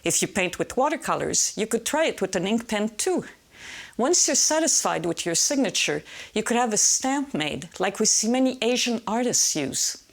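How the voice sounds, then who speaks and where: Calm French-Canadian woman teaching art. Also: fairly high pitch, moderate pace, vocal fry. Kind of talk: scripted tutorial narration.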